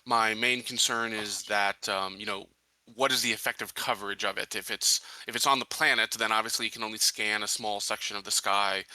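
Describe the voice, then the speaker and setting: Guy roleplaying practical space colony security chief, really bright sharp voice, lowish, stops and restarts. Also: fairly even intonation, poor audio. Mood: earnest, practical. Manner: halting, explanatory, reasoning aloud. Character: practical male colony security chief addressing his council. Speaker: player in a tabletop roleplaying game. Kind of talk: improvised in-character tabletop roleplay.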